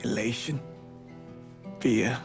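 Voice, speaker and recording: Casting: reflective veteran narrator, gravelly, very unhurried, over guitar. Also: male voice, medium pitch, fairly even intonation. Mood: sombre. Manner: halting, reflective. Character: sombre war veteran reflecting on coming home. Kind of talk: narration in game cutscene.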